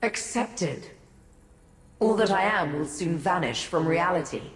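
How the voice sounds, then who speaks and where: Proud bitter daedric prince lady, slow solemn talk, echoey game voice with reverb. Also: on the low side, animated. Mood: despairing. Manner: solemn, imperious, formal diction. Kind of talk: fantasy video game voice acting. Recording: roomy echo with long reverb, music behind.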